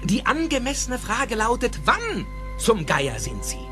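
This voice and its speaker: An actor playing an excitable, eccentric older scientist in a German audio drama, theatrical and emphatic, with precise technical phrasing. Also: male voice, very high-pitched, a little slow, faint music behind, full character voice. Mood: proud, explaining.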